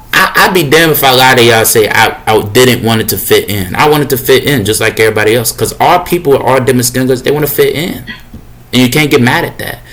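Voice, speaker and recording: A young man with a deep voice talks straight to his listeners in a loose, preachy monologue. He circles back on his own phrases and leans on slang fillers as he goes. His pitch sits in an ordinary middle range for a man. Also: a bit bright. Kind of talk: rambling motivational monologue.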